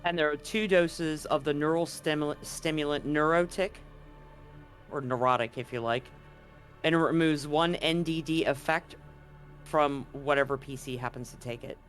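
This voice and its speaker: A man narrating a tabletop roleplaying game as game master, casually and somewhat haltingly, feeling for his words. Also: on the high side, steady tone, a bit bright, faint music behind.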